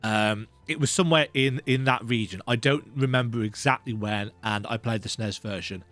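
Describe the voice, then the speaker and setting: A man's voice: mid-range voice, moderate pace, fairly bright tone. A man giving casual, chatty, self-deprecating commentary as host of a let's play, speaking close to the mic. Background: video game music.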